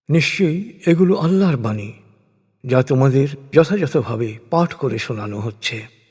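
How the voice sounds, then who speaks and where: A man reading translated scripture as a solemn scripted narration, in measured, declamatory phrases. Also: medium pitch, a little mellow, husky. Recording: long hall-like reverb.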